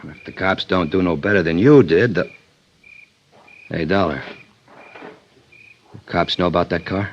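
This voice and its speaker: Suspicious, jumpy male gunman, low threatening delivery, old-time radio crime show, muffled sound with some noise. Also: unhurried, pauses often. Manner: threatening tough-guy talk, clipped slangy speech. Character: menacing, suspicious male gunman, jumpy hard-boiled crook. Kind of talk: 1950s radio crime drama acting. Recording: dull, noisy old radio recording.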